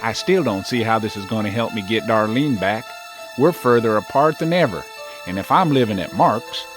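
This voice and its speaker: An actor playing an earnest, conciliatory estranged husband in an audio soap drama. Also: male voice, fairly low pitch, expressive, normal speed, music in the background, close to an everyday voice. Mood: reluctant, resentful. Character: estranged husband, outwardly conciliatory, secretly compliant schemer.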